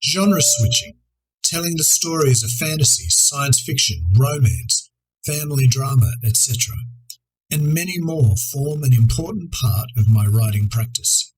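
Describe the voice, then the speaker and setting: A man presenting an educational video as a writer, reading scripted instructional narration in a measured, articulate way. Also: mid-range voice, poor audio.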